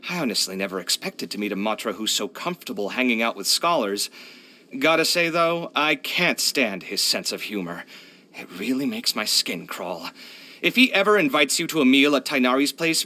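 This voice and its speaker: A young architect from a video game, proud yet hard on his luck. His voice is bright and forward, at a middle pitch that swings widely as he talks. He is chatty, candid and very expressive. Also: moderate pace. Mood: wry exasperation. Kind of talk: video game character voice lines.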